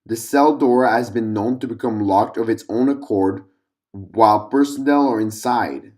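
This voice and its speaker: A man's voice: mid-range voice, a little slow, darkish tone. A man narrating a scripted fictional document in a formal, clinical report-reading manner.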